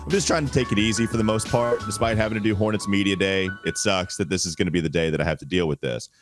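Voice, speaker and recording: A man's voice: lowish voice, fast talker, soft background music. A male sports podcast co-host, under the weather and holding his voice back, talking with wry, exaggerated gloom. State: under the weather, voice strained and quiet. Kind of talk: casual sports talk.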